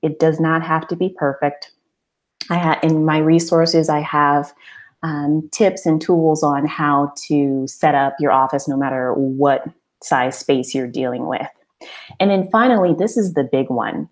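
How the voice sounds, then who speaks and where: A woman with a low voice leads an online webinar as a business coach. She speaks in an encouraging, conversational way, posing questions and answering them herself. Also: measured pace. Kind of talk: informal webinar presentation.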